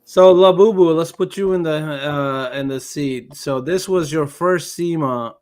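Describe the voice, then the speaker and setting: A man's voice: on the high side, unhurried, darkish tone, smooth. A man hosting a podcast over a video call, teasing his guests in a laid-back way. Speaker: podcast host. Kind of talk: casual interviewing.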